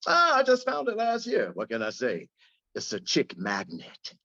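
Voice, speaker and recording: A man plays a cocky ladies' man in a screenplay read, teasing his buddy in breezy banter. His higher voice moves around with lively inflection, and the recording is slightly dull. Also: normal speed, gravelly, exaggerated voice. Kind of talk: script table read. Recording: dull lo-fi sound.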